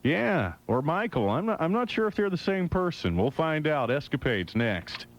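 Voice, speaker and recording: A man's voice: a little high, sing-song, normal speed, a little hiss or hum. A man hosting a music radio show, delivering upbeat, joking DJ patter into a close studio mic. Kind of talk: hot adult contemporary radio DJ patter over music. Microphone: close broadcast studio mic. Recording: loud music bed behind the voice.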